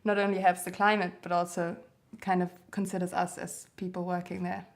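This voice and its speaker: Relaxed young woman from Germany. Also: on the low side, brisk. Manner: relaxed, candid, laughs easily. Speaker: model and interview guest. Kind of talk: casual interview chat.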